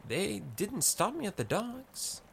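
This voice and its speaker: A man's voice: high-pitched, sing-song, slow pace, gravelly, a bit bright, a little hiss or hum, voice pushed up, full character voice. A man voicing a mild, sheepish, boyish pub regular in a fantasy story, earnest and meek. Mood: defensive.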